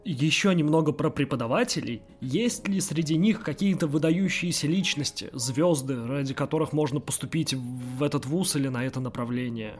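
A man's voice: on the high side, moderate pace, few pauses, faint music behind. A man working as an interviewer, putting questions in a conversational way.

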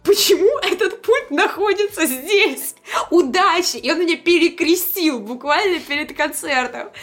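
A woman musician chatting casually as an interview guest, telling lively anecdotes in slangy speech and acting out the dialogue she quotes. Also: very high-pitched, moderate pace.

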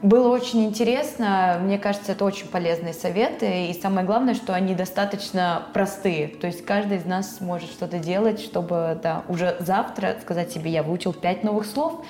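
A woman's voice: fairly low pitch, fairly quick, few pauses, darkish tone, roomy sound. A woman hosting a podcast interview in a studio, thanking and questioning her guest with affirming words. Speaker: podcast host, magazine editor.

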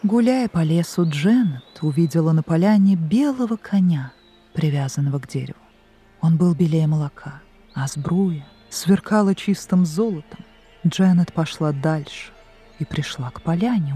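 A woman narrates a scripted fairy tale as a storyteller, in an expressive, measured way, with music behind her. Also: sits low, husky. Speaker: storyteller, voice artist. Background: background music.

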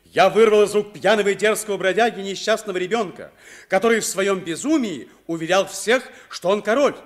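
A man's voice: sits high, brisk, lightly acted. An actor playing a noble, loyal accused man, declamatory and impassioned in a Soviet radio play. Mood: impassioned pleading.

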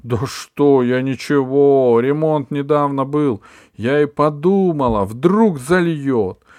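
A man voicing a fussy, excitable middle-aged woman neighbour who talks in a high, loud, drawn-out way. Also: no echo, natural voice. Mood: flustered.

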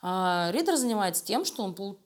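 Woman, mid-range voice, interview guest, creative director, informal, expressive, explanatory. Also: mid-range voice, fairly quick. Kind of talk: casual interview talk.

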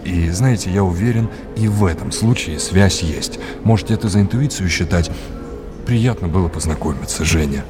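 Actor doing polite assured man in an audio drama, low voice, formal, music clearly behind. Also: moderate pace, close to an everyday voice. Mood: courteous, assured. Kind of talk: naturalistic audio drama dialogue. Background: music underneath.

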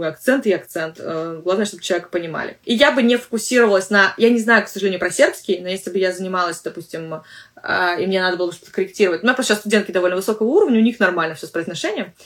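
Fast-talking woman teacher podcasting. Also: medium pitch. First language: Russian. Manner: chatty, full of filler words and restarts. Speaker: language teacher and podcast host. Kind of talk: casual podcast monologue.

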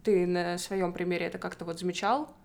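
A woman's voice: lowish voice, steady tone, fairly quick, a little mellow. A young woman hosting a casual conversation, framing long thoughtful questions. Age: young adult. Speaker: podcast host.

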